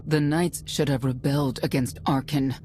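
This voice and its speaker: A seasoned, honor-bound knight in a video game. Her voice is very low, formal and earnest, with clear ambient noise behind it. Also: fairly even intonation, moderate pace. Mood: bitter regret. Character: proud, dutiful older female warrior knight.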